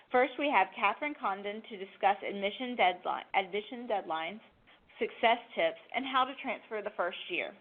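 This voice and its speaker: Formal woman host, phone-like sound. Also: medium pitch. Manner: formal, reading from prepared text. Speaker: host introducing a presenter. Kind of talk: scripted webinar introduction. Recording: phone-like narrow band.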